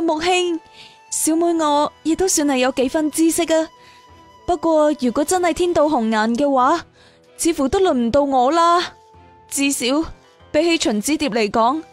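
A woman playing a modest, thoughtful young maiden in a martial fantasy audio story, polite and measured. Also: sits high, soft background music. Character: self-effacing young martial-world maiden, thoughtful and worried.

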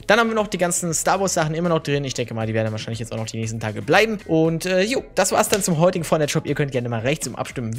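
A hoarse young man hosting his gaming channel, casually talking viewers through the day's game shop items in a chatty, relaxed way. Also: mid-range voice.

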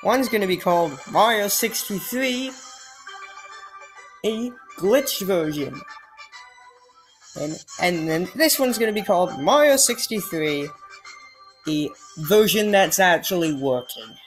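A woman's voice: mid-range voice, lively intonation, takes it slowly, pauses often, slightly rough, low-quality recording. A woman commentating on a game she is playing, in a casual, exasperated and sarcastic way, often sighing. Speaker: let's play gamer. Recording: faint game music behind.

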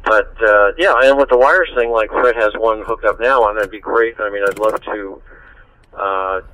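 A man's voice: on the low side, moderate pace, a bit bright, rough recording. A man chatting casually over a two-way digital radio, friendly and rambling as an amateur operator. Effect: two-way digital radio transmission.

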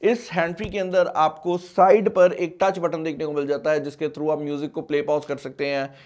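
A man's voice: a little high, flat delivery, moderate pace, darkish tone. A man in his twenties with a Pakistani accent, giving a casual, chatty and emphatic product review with English phrases mixed in. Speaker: tech reviewer and video host. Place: small indoor studio at a desk.

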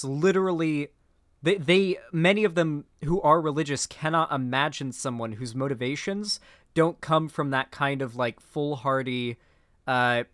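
A young man giving off-the-cuff political reaction commentary as a streamer, halting and repeating himself, sardonic and sweary. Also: a little high, a bit bright, no room sound, clean studio sound. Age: young adult. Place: indoor streaming room.

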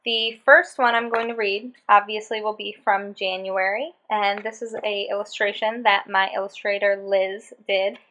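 A woman's voice: mid-range voice, steady tone, moderate pace, hardly any pauses, a bit bright. A young woman in her twenties reading her own book posts aloud with a sarcastic, exasperated delivery, recorded on a webcam in a small bedroom. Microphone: webcam at desk.

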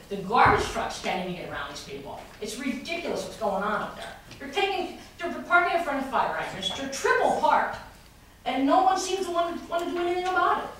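A woman's voice: on the high side, fairly bright tone. A woman making a public complaint at a city council meeting, with many restarts, growing more heated. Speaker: resident speaking at public comment. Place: large wood-paneled council chamber. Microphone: podium mic recorded by a far camera. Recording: echoey chamber from far off, noisy.

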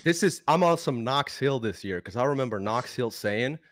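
Excitable Belgian man on a podcast call. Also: mid-range voice, dry recording, studio quality. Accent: American-sounding English. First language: Dutch. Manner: excitable, laughs a lot, quick bursts. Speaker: podcast co-host and reaction creator. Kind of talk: casual group podcast chat. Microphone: headset and studio mic on video call.

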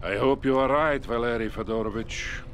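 A male actor playing a seasoned, middle-aged Soviet colonel in a war game, measured and solemn. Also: mid-range voice, a bit bright, slight background noise, close to an everyday voice. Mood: proud, measured. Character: seasoned, grave Soviet colonel, a proud uncle. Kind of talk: military video game cutscene acting.

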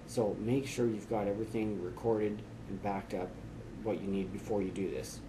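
A man in his forties explaining computer steps to camera in a relaxed, chatty way with frequent fillers, filmed in a small office. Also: on the low side, normal speed, noisy background. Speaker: tech tips host. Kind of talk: casual how-to explanation to camera.

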